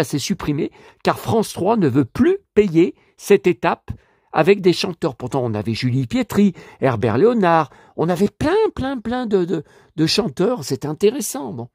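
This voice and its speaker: A man's voice: fairly high pitch, no echo, studio quality. A man in his fifties, a collector vlogging casually, rambling with many fillers as he talks straight to his viewers. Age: 50s. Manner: indignant and animated when riled. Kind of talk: casual screen-share vlog.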